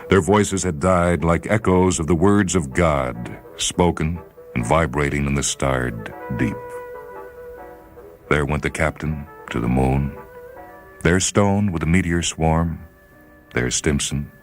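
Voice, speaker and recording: A man reading science fiction as scripted dramatic narration, with a measured, solemn delivery. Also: rumbling low, soft background music. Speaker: story reader and programme host.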